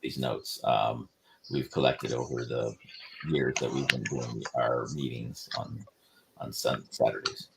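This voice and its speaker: Very deep gravelly-voiced man, rambling. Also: fairly even intonation, background noise. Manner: rambling, halting with many restarts. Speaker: inventor presenting research. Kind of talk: casual livestream discussion.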